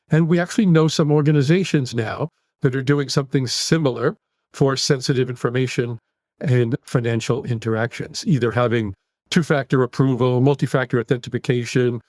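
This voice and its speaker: A man in his sixties from the United States with a New York accent, answering podcast interview questions in long, animated run-on sentences. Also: medium pitch, no room sound, clean studio sound. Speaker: guest psychologist.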